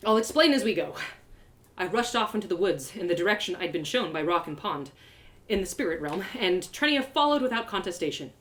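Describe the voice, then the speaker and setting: A woman's voice: lowish voice, brisk. A woman reading a fantasy story aloud live, narrating in the first person with dramatic expression. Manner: dramatic, animated.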